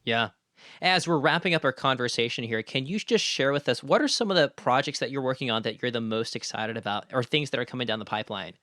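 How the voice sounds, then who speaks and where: A man's voice: medium pitch, fast talker, sharp tone, dry recording, studio quality. A man hosting a podcast interview in a conversational, supportive and affirming way.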